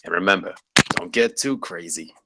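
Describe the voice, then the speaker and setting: A man playing a jaded veteran campus security guard in a screenplay table read, matter-of-fact and deadpan. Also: sits low, normal speed, heavy background noise, lightly acted.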